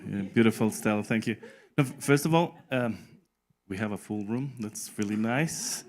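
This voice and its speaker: Relaxed man chairing a conference panel. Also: on the low side, moderate pace. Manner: relaxed, speaks English as a second language with tag "no?". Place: conference room.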